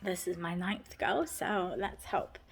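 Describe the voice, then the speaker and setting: Chatty Canadian woman with vocal fry. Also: on the low side, takes it slowly. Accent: Canadian with a New Zealand tinge. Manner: rambling, heavy use of "like", earnest. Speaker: vlogger. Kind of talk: casual personal vlog chat.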